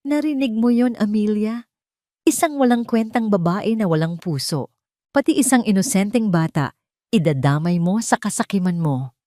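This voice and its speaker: A haughty older mother-in-law in an audio drama, played by a woman narrator. Her voice is raised in pitch, scornful and accusing, in a dry close recording. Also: moderate pace, mellow, dry recording, close to an everyday voice. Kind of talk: Tagalog audio drama storytelling.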